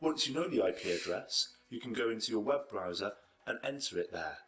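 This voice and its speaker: Low, bright male tutorial narrator. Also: animated, fairly quick, soft background music. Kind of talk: scripted narration.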